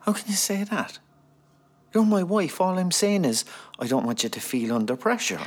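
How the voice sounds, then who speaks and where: A man acting out a gentle, anxious husband in an intimate screen drama. His voice is husky and breathy, and he talks softly, often near a whisper, trying to soothe. His pitch moves widely as he speaks. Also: very animated, fast talker. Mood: defensive. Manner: soft-spoken and soothing, often close to a whisper. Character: gentle, anxious husband of a gravely ill wife.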